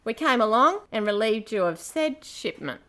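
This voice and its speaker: Woman as space pirate queen, brash, casual, foul-mouthed, fairly high voice, amateur radio play. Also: sing-song, normal speed, pushed and bright. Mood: breezy, boastful. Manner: brassy, casual, swears freely. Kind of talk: amateur audio drama acting.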